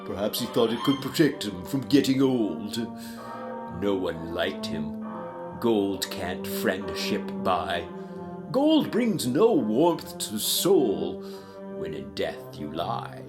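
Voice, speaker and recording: A man voicing a scornful gentleman businessman in a storybook verse tale, declaiming with emphatic disdain. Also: on the low side, very animated, takes it slowly, music in the background. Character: scornful gentleman businessman gossiping about a dead miser.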